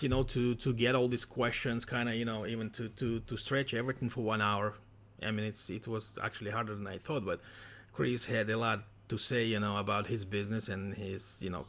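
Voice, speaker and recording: A man from Ukraine hosting a casual livestream interview, talking haltingly with many restarts over a computer microphone. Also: mid-range voice, vocal fry, a bit bright. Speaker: livestream host.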